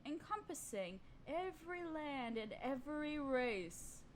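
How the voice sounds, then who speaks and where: A woman's voice: fairly high pitch, slight background noise. A woman playing an imperious evil queen and sorceress in a fantasy audio roleplay, speaking formally with pompous, condescending menace. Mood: scornful, menacing. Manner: formal, pompous, condescending, slow deliberate delivery. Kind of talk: scripted fantasy audio roleplay addressed to listener.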